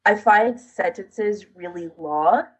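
Slightly husky woman podcast host. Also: medium pitch, slow, lo-fi sound. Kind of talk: casual explanatory podcast chat.

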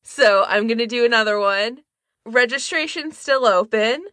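A woman's voice: fairly high pitch, normal speed, pushed and bright, no echo, studio quality. A woman co-hosting a podcast, explaining things in an informal, conversational way. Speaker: podcast co-host. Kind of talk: casual explanatory podcast chat.

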